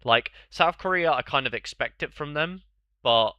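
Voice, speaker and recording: A man's voice: fairly high pitch, lively intonation, normal speed, smooth, dry recording, dull audio. A young man with a bunged-up, congested voice, chatting casually on a live stream and answering viewers' questions in a rambling way.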